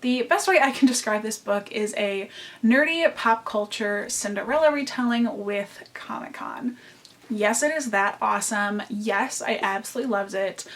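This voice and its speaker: A young woman with a nasal Midwestern American accent talks through her book picks at a medium pitch. She gushes warmly and doubles up words for emphasis, like a chatty book vlogger. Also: normal speed. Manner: gushing, emphatic repeats. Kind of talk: enthusiastic book recommendations.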